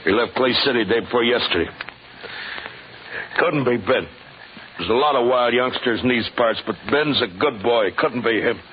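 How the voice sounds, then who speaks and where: An actor playing a weary, stubborn middle-aged frontier sheriff with a terse, rustic American way of talking, in a 1950s radio western. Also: male voice, mid-range voice, moderate pace, long pauses, lightly acted. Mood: defensive. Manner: rustic, terse, heavy-hearted. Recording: muffled, noisy 1950s radio recording.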